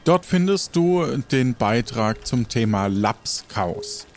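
A man narrating an improvised role-play as game master, measured and pausing to think. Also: medium pitch, expressive.

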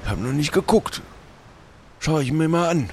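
A man's voice: fairly high pitch, expressive, normal speed, gravelly. A man playing a stubborn, grumbling old pirate in an improvised audio play. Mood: matter-of-fact. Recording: noticeable background noise.

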